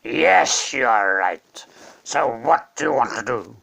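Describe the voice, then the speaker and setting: Man playing a measured, solemn lion king. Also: medium pitch, expressive, vocal fry, pushed and bright, pitched below the performer's own voice, exaggerated voice. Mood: stern, calm. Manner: measured, slow, deliberate. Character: great male lion king, calm, solemn, authoritative.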